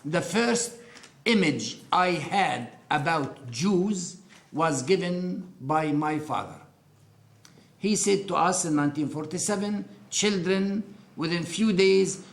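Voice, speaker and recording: A man in his sixties with an Arabic accent, giving earnest, deliberate personal testimony in an interview. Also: high-pitched, reverberant. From Upper Galilee, Israel. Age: 60s. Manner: earnest, deliberate storytelling with emphatic pauses.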